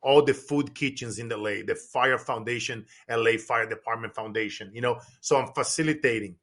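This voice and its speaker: A man's voice: mid-range voice, normal speed. A middle-aged man from Brazil, a business coach, talking emphatically as a podcast guest, with many restarts. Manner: animated, repetitive restarts, emphatic. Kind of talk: casual podcast conversation.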